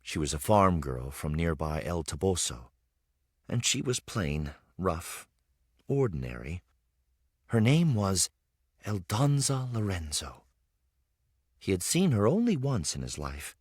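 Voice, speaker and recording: A man reading a children's story aloud as scripted narration, with expressive, dramatic storytelling emphasis. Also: low-pitched, takes it slowly, pauses often, husky, dry recording, studio quality. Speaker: storybook reader.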